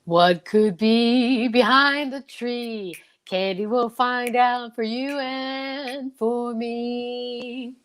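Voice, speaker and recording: A woman's voice: a little high, very slow, few pauses, clear, resonant tone. A woman playing a playful, theatrical chorus who comments in sing-song fashion in an amateur old-time radio drama. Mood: playful, teasing. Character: playful commenting chorus singing the heroine's praises.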